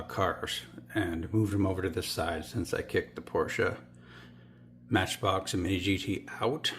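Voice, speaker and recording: A man's voice: deep voice, a little mellow, a little hiss or hum. A man with a northern US accent, talking through his toy car collection in a rambling, offhand way, often correcting himself mid-sentence. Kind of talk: casual show-and-tell.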